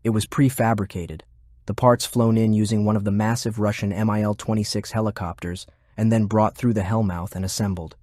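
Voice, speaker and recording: A man's voice: lowish voice, darkish tone, no echo, clean studio sound. A man reading scripted narration of military fantasy fiction as an audiobook narrator.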